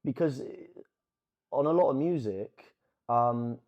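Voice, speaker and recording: Male podcast co-host, Brazilian accent, mellow dark tone, rambling casual delivery. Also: medium pitch, unhurried. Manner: rambling, restarts sentences often. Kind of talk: casual chat.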